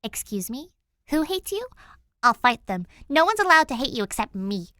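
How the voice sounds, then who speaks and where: A woman's voice: a little high, sing-song, moderate pace, bright, forceful tone, no echo, studio quality. A woman voicing a feisty, sarcastic runaway girl in a fan fiction audio drama, blunt and mock-dramatic. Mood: indignant.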